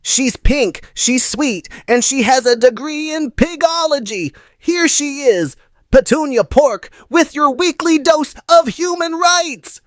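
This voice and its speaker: A woman's voice: sits high, animated, slow pace, dry recording, studio quality. A woman voicing an easygoing, wry puppet host of a children's show.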